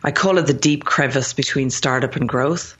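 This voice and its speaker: A woman's voice: very deep voice, moderate pace. Irish woman in her thirties, chatty interview guest, lo-fi audio. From Ireland. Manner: chatty, restarts sentences often. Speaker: guest, company founder. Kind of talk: podcast interview.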